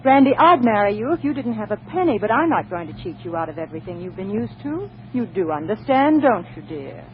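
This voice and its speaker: A woman playing a practical, determined young sweetheart in a 1940s radio comedy, with an American accent and a wry, brisk, teasing manner. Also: mid-range voice, sing-song, natural voice. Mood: tender, practical. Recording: muffled, noisy 1940s radio recording.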